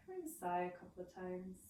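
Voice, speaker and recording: A woman teaching a gentle voice and breathing lesson, talking softly and reflectively with many fillers, her voice low with morning. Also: a little hiss or hum. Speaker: singing and voice teacher. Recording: echoey room, mic at a distance.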